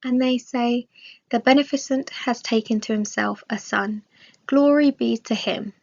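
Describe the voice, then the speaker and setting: A woman reading a scripted religious study aloud in a measured, formal way. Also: fairly high pitch, gravelly, darkish tone. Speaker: reader of religious study text. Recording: dull, lo-fi sound.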